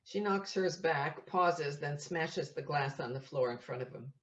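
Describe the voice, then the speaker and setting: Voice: medium pitch, unhurried, a bit bright, dull audio. A narrator reading out scripted stage directions for an audio play in a plain, descriptive way.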